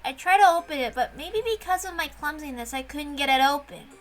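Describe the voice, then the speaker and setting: A woman's voice: sits high, expressive, a little fast, soft background music, pitched above the performer's own voice. A woman voicing a lonely, dazed young girl narrating a visual novel in the first person, wistfully and simply. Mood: uneasy.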